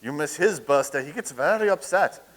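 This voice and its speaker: A man's voice: fairly high pitch, lively intonation, moderate pace, a bit bright. An actor in a comedic staged reading playing a blustering, scoffing skeptic who stammers as he starts. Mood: dismissive. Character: blustering skeptical man, stammering, naive admirer of tyrants.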